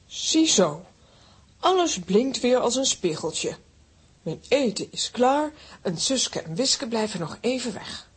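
A woman's voice: on the high side, sing-song, takes it slowly, slightly rough, exaggerated voice. A woman acting a fussy but fond middle-aged aunt in a children's audio drama. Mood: musing to herself.

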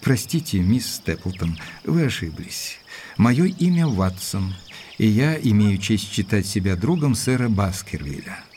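An actor playing an observant, courteous gentleman who narrates an audio drama in a measured, literary, polite way. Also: on the low side, sing-song, a little hiss or hum. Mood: courteous, puzzled.

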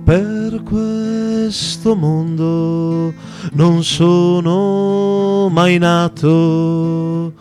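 Male singer, extremely slow ballad phrasing, prominent guitar accompaniment. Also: sits high, fairly even intonation. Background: plucked guitar.

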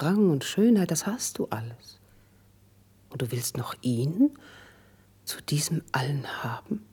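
An actress playing a cultivated, ambitious court lady and confidante in a classical verse radio play, with husky, breathy, measured and intimate declamation. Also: deep voice, darkish tone, full character voice.

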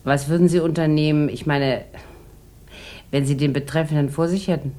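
A woman's voice: low voice, steady tone, normal speed, warm tone, natural voice. A woman playing a bored, boozy rich housewife in a 1960s German radio drama, theatrical and sardonic, drawing out her rambling remarks. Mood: probing, wary.

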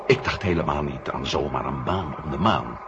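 A man playing a quick-thinking, drily wry space scientist in a 1970 radio drama, reasoning aloud with hesitant pauses. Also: rumbling low. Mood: eager, probing. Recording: noisy, muffled 1970 radio recording.

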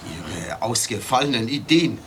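An actor playing an indignant, fussy, cowardly bystander in a radio drama, peevish and grumbling. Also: male voice, medium pitch, very animated, unhurried. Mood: anxious, challenging. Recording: slightly noisy old cassette.

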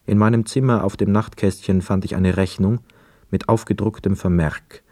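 An actor playing a brooding young hotel guest, narrating a literary radio play in a reflective, measured, sombre manner. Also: male voice, lowish voice, fairly even intonation, warm tone, dry recording, clean studio sound, natural voice.

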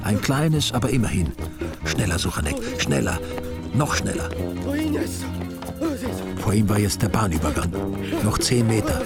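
A man's voice: fairly low pitch, expressive, unhurried, creaky, long pauses, over loud music. A man narrating a story with dry, ironic humor. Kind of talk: wry storytelling reading.

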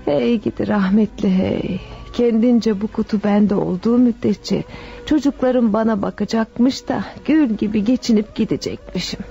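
An actress in a radio drama playing a frail, sick old widow who speaks affectionately and piously, with sighs. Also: female voice, a little high, moderate pace, gravelly, warm tone. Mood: wistful. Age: older. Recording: dull lo-fi radio recording, music behind.

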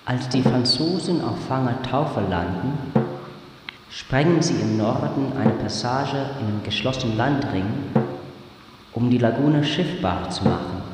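A man reading as a sober, factual chronicler of island history in a scripted radio play, measured in delivery. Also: mid-range voice, very noisy, lightly acted. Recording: very echoey long reverb, loud music behind.

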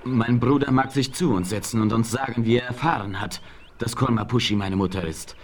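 An actor playing an earnest young warrior in a 1970s radio play who speaks formally and courteously. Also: male voice, medium pitch, fairly quick, crowd noise, natural voice.

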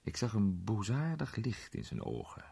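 An actor playing a curious amateur sleuth who tells a suspenseful mystery story in animated, colloquial radio drama narration. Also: male voice, on the low side, moderate pace, creaky, slightly rough, exaggerated voice. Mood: intrigued, suspenseful. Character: curious male amateur sleuth recounting a suspenseful night adventure.

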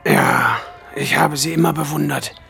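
Creaky-voiced, cultured man of mystery. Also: a little high, wildly swooping pitch, pushed and bright, faint music behind, full character voice. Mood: wistful conviction. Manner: cultured, measured, formal. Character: mysterious wealthy male film aesthete, courteous and sinister. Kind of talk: radio drama acting.

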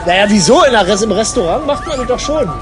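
A man's voice: high voice, animated, fast, over music. A man in casual, rambling conversation, exclaiming and mock-dramatic in manner.

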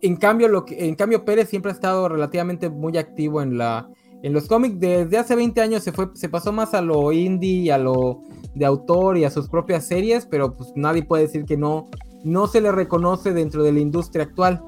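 A man's voice: on the high side, normal speed, darkish tone, faint music behind. A man with a Mexican Spanish accent hosting a casual live-streamed comic-book chat, rambling and correcting himself as he goes. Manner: rambling, restarts often, self-correcting. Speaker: podcast host.

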